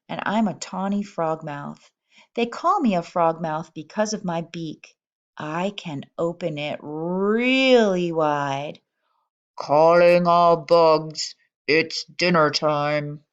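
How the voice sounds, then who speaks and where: Boastful hungry frogmouth bird for a children's book , female reader, hearty drawn-out calls, unhurried, slightly muffled sound. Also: fairly low pitch.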